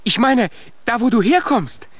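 A man's voice: very high voice, lively intonation, a little slow, dry recording, heavily acted. An actor in a children's radio play voicing a tiny, plucky boy giant who is eager and boastful, with an earnest, exclaiming delivery. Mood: eager, determined.

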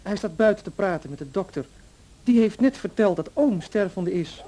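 An actor playing a weak, selfish young husband in a radio play, whining and self-pitying. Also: male voice, high voice, lively intonation, moderate pace, mellow, poor audio. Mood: petulant.

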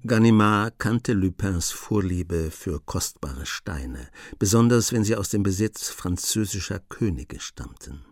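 A man's voice: low voice, animated, measured pace, hardly any pauses, no room sound. A man narrating a scripted literary crime story as the narrator of a radio drama.

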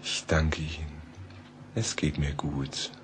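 Very deep, haunted narrator. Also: male voice, level tone. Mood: weak, insistent. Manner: slow, measured, brooding, sometimes near whisper. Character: grave, haunted male narrator recalling a tragedy. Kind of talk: German radio play narration, letter-like storytelling. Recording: faintly noisy old radio play recording.

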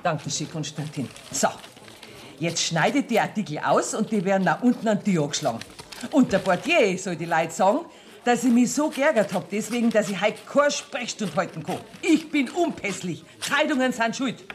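A woman's voice: mid-range voice, expressive, normal speed, a little hiss or hum, natural voice. An actress playing a domineering, self-righteous woman banker in a radio play, speaking Bavarian dialect in a commanding, indignant way. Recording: 1970s radio play, music behind.

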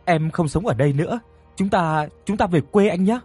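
A man's voice: medium pitch, unhurried, warm tone, breathy edge, faint music behind. A man voicing a frightened young woman who pleads and stammers in a horror audio story. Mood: pleading. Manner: pleading, stammering, repeating words. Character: frightened pleading young woman haunted by her dead lover.

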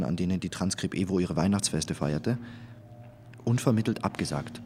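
An actor playing an effusive, awkwardly genial company boss who rambles pedantically in a radio drama interview. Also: male voice, low-pitched, darkish tone, a little hiss or hum, close to an everyday voice.

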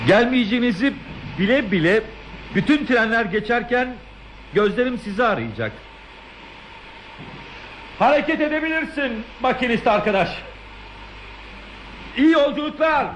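A male actor playing a lonely, tender railway man in a radio play, speaking politely in a halting, earnest, theatrical way. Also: very high-pitched, big pitch swings, a bit bright, a little hiss or hum, exaggerated voice, rough recording. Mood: wistful, then calling out.

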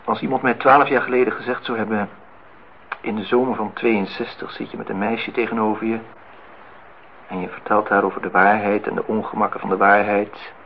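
An actor playing a disillusioned, reflective man in his thirties in a Dutch radio play, speaking in measured, philosophical phrases. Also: on the low side, steady tone, gravelly. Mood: sardonic, lecturing. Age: 30s. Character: disillusioned, reflective man musing on truth and love. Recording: dull, noisy old radio play recording.

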